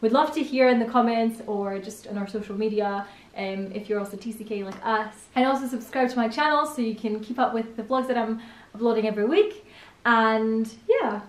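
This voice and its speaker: A woman's voice: fairly high pitch, a little fast. A young woman from Spain hosting a casual chat, talking with lots of fillers and laughing easily. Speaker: channel host. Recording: echoey room.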